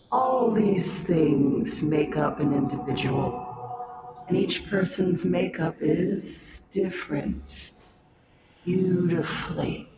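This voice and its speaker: A man playing a patronizing, quietly menacing smoke entity in an audio drama, speaking articulately and condescendingly. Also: sits high, echoey room, background noise, heavily acted. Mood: obsessive fascination. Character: ominous, patronizing transcendent smoke entity, collector of souls. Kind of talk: scripted audio drama dialogue.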